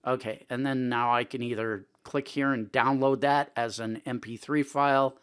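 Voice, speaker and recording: Informal man giving a software tutorial. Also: medium pitch, steady tone, moderate pace, clean tone. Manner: informal, conversational, thinking aloud. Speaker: tutorial presenter. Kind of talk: casual screen-recording tutorial talk.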